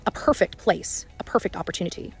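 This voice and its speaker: A woman's voice: fairly low pitch, quick pace, gravelly, slightly rough, soft background music. A woman doing unscripted vlog-style reporting outdoors, often repeating her phrases. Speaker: amateur on-site reporter. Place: outdoors in quiet rural area.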